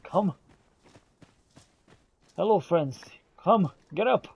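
A man giving expressive, exclamatory in-character gameplay commentary, thinking aloud as he roleplays. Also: high-pitched, extremely slow, gravelly, husky, no echo, highs cut off. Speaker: gamer roleplaying as a character in a playthrough.

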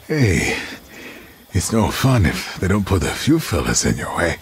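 A man playing a big, jovial, rough gunslinger with a gravelly voice in a video game. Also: deep voice, huge pitch swings, unhurried, full character voice. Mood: jovial, eager.

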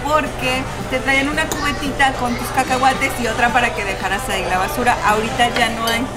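A woman, casually explaining things to camera as a vlog co-host. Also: a little high. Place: busy restaurant booth. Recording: loud music and restaurant crowd noise.

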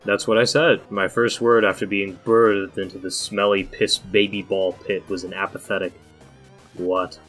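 A man voicing a laid-back teenage boy in a cartoon comic dub, deadpan and dryly sarcastic. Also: deep voice, moderate pace, soft background music. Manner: deadpan, dry, rambling sarcasm.

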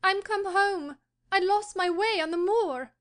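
Ghost child: a man puts on a falsetto wail for a storybook, slow and sobbing, pleading to be let in. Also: fairly bright tone. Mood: mournful pleading. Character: wailing ghost of a young girl. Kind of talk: audiobook character voice.

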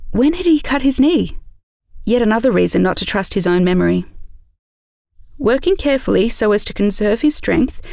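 A woman narrates a scripted audio drama. Her mid-pitched voice has a noticeable creak. The recording is very dry, with no room sound. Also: darkish tone, no echo.

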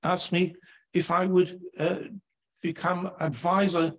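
An older English man from Sheffield giving a public lecture at a lectern, chatty and animated, often tagging sentences with "right?". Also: sits high, takes it slowly. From England, Sheffield. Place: lecture hall, standing at a lectern. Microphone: lectern microphone.

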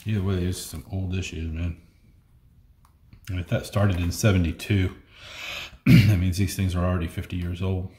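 A man's voice: deep voice, flat delivery, moderate pace, long pauses, dark tone. A middle-aged man sorting through old comics at a table, reading out issue numbers and muttering comments as he goes. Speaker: comic collection unboxing host. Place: indoor room at a table. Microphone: fixed camera across the table. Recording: echoey room, faint noise.

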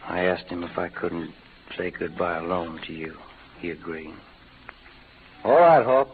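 A man acting a rough-talking cowboy outlaw in a 1940s radio western, with a folksy American Western drawl. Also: deep voice, animated, a little slow. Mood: boastful, hard. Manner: folksy, slangy cowboy talk. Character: rough-talking cowboy playing the bad outlaw for love's sake. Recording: old noisy radio recording, phone-like band.

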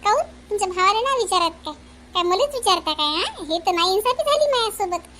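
Woman doing super high squeaky cartoon village lady, teasing n folksy. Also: expressive, fast, sharp tone, slight background noise, full character voice. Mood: indignant. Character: teasing, chatty village women of a family. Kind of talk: comedy cartoon dialogue.

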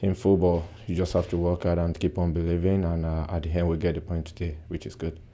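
A young man in his twenties, a footballer giving a soft-spoken post-match interview with many "uh" pauses, recorded indoors close to the mic. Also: low voice, little pitch movement. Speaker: football player. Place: indoor press backdrop at a stadium.

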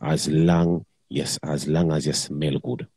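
A man's voice: rumbling low, little pitch movement, slow pace, warm tone, husky, dry recording, clean studio sound. A middle-aged man, talking bluntly and crudely in patois in a casual chat.

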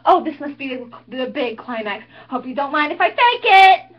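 A woman's voice: sits high, sing-song, normal speed, pitched above the performer's own voice. A woman acting out a snobbish teen cheerleader who sarcastically mocks a killer, in an amateur monologue recorded dull and lo-fi. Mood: haughty. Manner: sarcastic, bratty put-on theatrics. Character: snobbish teen girl cheerleader, mocking and haughty.

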